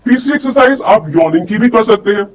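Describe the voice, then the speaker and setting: A woman's voice: a little high, expressive, fast talker. A woman giving an instructional lesson as a voice coach, speaking directly to listeners and posing questions to them. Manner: addresses listeners directly with demonstrations and rhetorical questions. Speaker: voice and personality development coach. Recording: distant microphone, faint background noise.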